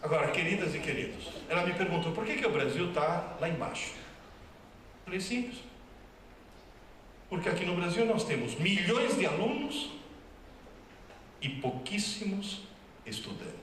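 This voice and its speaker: A male professor lectures with wry, teasing emphasis, his voice a touch high for a man. He sounds far from the mic, ringing through a very echoey hall with faint background noise. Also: expressive, moderate pace, long pauses, a bit bright. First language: Italian. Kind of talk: humorous public lecture. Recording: distant, very echoey hall recording.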